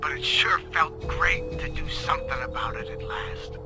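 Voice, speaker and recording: A man's voice: on the high side, moderate pace, music in the background, close to an everyday voice. An actor playing an unhinged, menacing male caller in a video game, taunting in a creepy manner. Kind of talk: video game villain dialogue.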